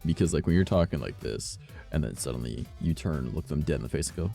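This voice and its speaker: A man streaming a game, chatting casually and swearing a lot, his voice rough today, swinging between low mumbles and loud bursts. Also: lively intonation, fast, faint music behind.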